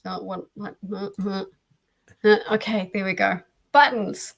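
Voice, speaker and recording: A woman's voice: mid-range voice, unhurried, gravelly. A woman hosting a livestream, chatting casually. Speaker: host of a livestream.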